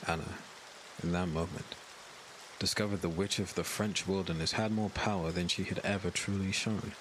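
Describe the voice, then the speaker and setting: A man narrating a scripted dark fantasy audiobook in a grave, dramatic storytelling manner. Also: low voice, steady tone, moderate pace, slight background noise, poor audio.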